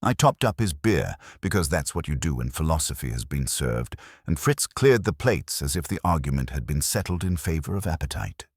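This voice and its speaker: A man with an extremely low voice narrates a wry detective tale. His pitch moves around in a lively way while the delivery stays measured and literary. The sound is dry and close. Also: husky, no echo. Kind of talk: scripted audiobook narration, wry first-person detective story.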